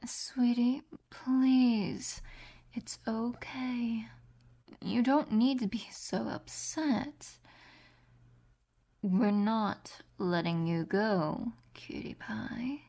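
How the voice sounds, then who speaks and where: Woman doing a clingy, possessive captor girl in an audio roleplay, husky whisper with fry, slow and cooing. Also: on the high side, full character voice. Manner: soft whispering, cooing pet names. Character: two doting, possessive young women captors.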